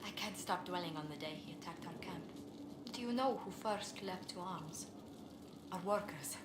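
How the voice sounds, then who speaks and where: An actress playing a refined, earnest court diplomat in a video game, with courteous and formal speech. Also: female voice, on the low side, normal speed, reverberant, background noise, close to an everyday voice. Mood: sorrowful. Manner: courteous, formal, elegant phrasing. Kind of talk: scripted video game dialogue.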